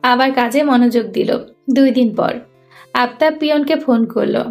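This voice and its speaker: Female storyteller, high voice, scripted narration over faint music. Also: normal speed.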